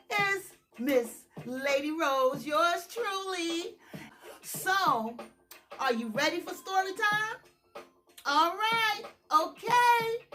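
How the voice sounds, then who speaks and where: A woman's voice: extremely high pitch, bright, forceful tone. A woman hosting a children's storytime in a theatrical, emphatic, singsong read-aloud style, drawing out and repeating phrases.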